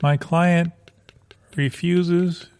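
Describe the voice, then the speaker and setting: A man's voice: on the high side, level tone, very slow, darkish tone, smooth. A man playing an earnest, stubborn defence lawyer in an improvised comedy sketch, formal and insistent. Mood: formal insistence. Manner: formal, insistent legal phrasing. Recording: light guitar music behind.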